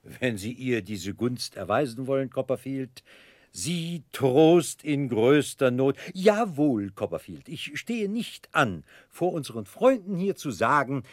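A grandiose, genteel debtor in a radio play. His husky voice rises and falls theatrically through ornate phrases, with pompous hesitations. The recording is dry and close. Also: mid-range voice, no echo. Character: pompous, florid, genteel middle-aged debtor gentleman, grandly self-important. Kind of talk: German radio play acting.

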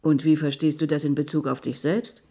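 A self-possessed female scientist in a radio play. She sounds intelligent and somewhat weary under pressure, at a natural female pitch. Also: little pitch movement, brisk, dark tone, husky. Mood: probing. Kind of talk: radio drama acting.

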